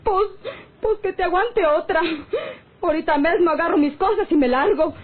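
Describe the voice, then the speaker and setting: An actress playing an aggrieved, sharp-tongued peasant wife with a rural northern Mexican accent and plaintive folksy speech in an old radio drama. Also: female voice, very high-pitched, moderate pace. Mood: hurt, threatening. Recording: tinny, band-limited old radio serial.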